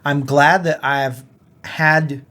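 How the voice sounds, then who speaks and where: A man with a somewhat higher-pitched voice chats as a podcast co-host. His delivery is loose and informal, musing through ideas in everyday conversational speech. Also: steady tone, extremely slow, pushed and bright. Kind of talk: casual conversational podcast chat.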